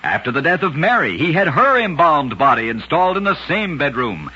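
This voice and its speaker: A man narrates strange true tales for an old-time radio program, his voice husky and sharply bright. He rides the sentences up and down with lively emphasis and clips each story off with a punchy tag. The old broadcast recording sounds dull and lo-fi. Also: fairly high pitch. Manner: brisk, emphatic storytelling with punchy catchphrase endings.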